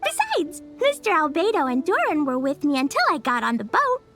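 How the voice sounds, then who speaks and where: A woman's voice: high-pitched, brisk, soft background music, full character voice. A bubbly little girl. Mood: chirpy. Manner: chirpy, excited, childlike. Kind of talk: video game voice acting.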